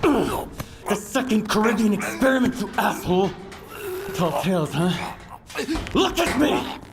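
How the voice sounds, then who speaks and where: A man's voice: high voice, very animated, a little slow, over loud music, exaggerated voice. A man playing a bitter, confrontational stalker who has lost everything, in a video game cutscene. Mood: furious, bitter. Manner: embittered, confrontational.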